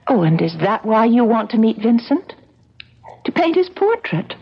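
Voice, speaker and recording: An actress playing an earnest, sympathetic gallery keeper in love with a troubled artist, in vintage radio drama style. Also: female voice, on the high side, very animated, moderate pace, slightly rough, full character voice. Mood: polite. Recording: dull, noisy old radio broadcast recording.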